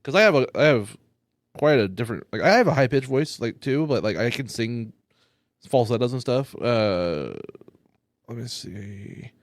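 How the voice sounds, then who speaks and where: Rambling, cursing comedian, dry close sound. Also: male voice, medium pitch, animated, normal speed, no echo. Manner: rambling, loose, curses often. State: high. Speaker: stand-up comedian. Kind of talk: casual podcast chat.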